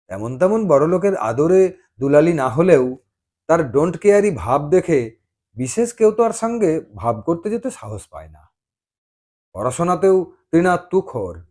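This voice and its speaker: A man reads a love story in a dramatised narrating style. His voice is a little higher than average for a man and moves through wide, animated rises and falls.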